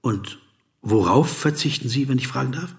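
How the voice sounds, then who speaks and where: An actor in a radio play voicing a polite, persistent male interviewer who probes, flatters and gives deliberate literary asides. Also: mid-range voice, gravelly. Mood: probing, pleading. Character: persistent, courteous male interviewer, flattering, observant, narrating into dictaphone.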